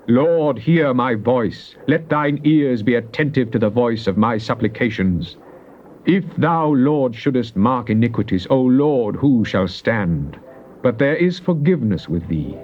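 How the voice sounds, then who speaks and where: Man, solemn declamatory psalm reading, medium pitch, drawn-out emphasis, dull old cassette sound with faint hiss. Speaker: scripture reader. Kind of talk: dramatized recitation of Bible psalms. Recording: muffled, noisy old cassette tape.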